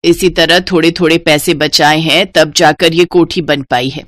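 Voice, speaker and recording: A woman's voice: sits low, fairly even intonation, a little slow, no room sound, clean studio sound. A woman voicing a stingy, bossy mother-in-law in a cartoon story, commanding and emphatic.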